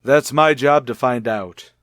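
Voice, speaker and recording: An actor playing a calm, persistent police lieutenant in a radio detective drama, formal and politely insistent. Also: male voice, medium pitch, measured pace, no echo, clean studio sound, lightly acted. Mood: politely probing. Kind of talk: old-time radio detective drama acting.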